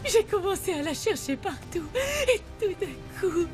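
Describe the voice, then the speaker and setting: A woman's voice: very high voice, expressive, normal speed, loud music behind the voice. An actress playing a frantic young mother who sobs and pleads after her daughter is taken. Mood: tearful telling. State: sobbing. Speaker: distraught mother. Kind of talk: dubbed drama acting.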